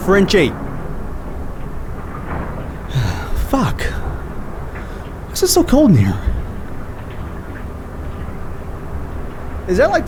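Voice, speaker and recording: A man's voice: high voice, background noise, exaggerated voice. An actor playing an awkward, cynical, short-tempered male investigator in a naturalistic audio drama. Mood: irritated.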